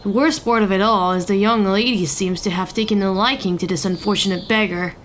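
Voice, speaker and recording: A woman's voice: medium pitch, steady tone, fairly quick, slight background noise, natural voice. A woman playing a haughty servant, deferential to superiors and sneering at others, in a fantasy audio drama. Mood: sneering disdain.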